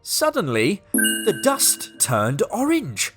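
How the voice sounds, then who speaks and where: A woman reading a children's story as scripted narration, expressive and deliberate, with dramatic pauses. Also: mid-range voice, music in the background.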